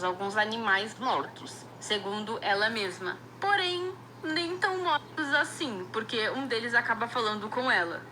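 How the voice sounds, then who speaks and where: A woman's voice: fairly high pitch, sharp tone, slight background noise, low-quality recording. A woman with a Brazilian accent recapping a story casually, with colloquial, wry asides. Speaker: video narrator.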